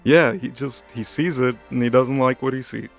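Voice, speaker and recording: A man with a creaky, gravelly voice tells a comic story off the cuff. He rambles along in mock-serious deadpan at a middle pitch, with music playing behind him. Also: moderate pace. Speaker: co-host retelling a story recap. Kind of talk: casual comedic storytelling, improvised dialogue.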